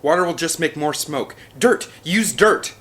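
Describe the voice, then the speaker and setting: A man's voice: a little high, animated, a little slow, a bit bright, pitched above the performer's own voice. A man voicing a headstrong, confident woman scientist in an audiobook, insistent and emphatic. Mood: urgent. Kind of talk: audiobook character dialogue.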